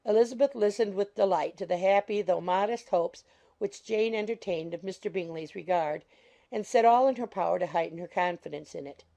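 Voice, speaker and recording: Mellow, creaky woman narrator. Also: mid-range voice, a little fast. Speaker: audiobook narrator. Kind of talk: scripted narration of classic novel.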